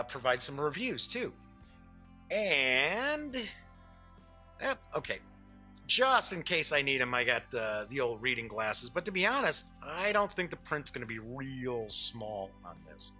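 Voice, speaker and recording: A man's voice: mid-range voice, pushed and bright, breathy edge. A middle-aged man hosting a casual live unboxing show, chatty and jokey, often wandering off on small asides. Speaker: show host.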